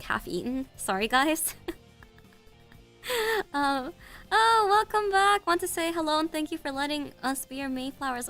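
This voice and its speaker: A woman's voice: high voice, creaky, fairly bright tone. A woman with a congested, stuffy voice, chatting casually with her stream audience, giggling and stumbling over her words. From United States. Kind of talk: casual live-stream chat.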